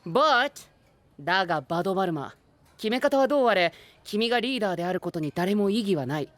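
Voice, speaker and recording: A man's voice: high voice, lively intonation, brisk, a bit bright, no room sound. An actor playing a suave, flamboyant, princely young male knight who drops English exclamations, in an anime-style voice drama. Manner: theatrical, sprinkles English exclamations into speech.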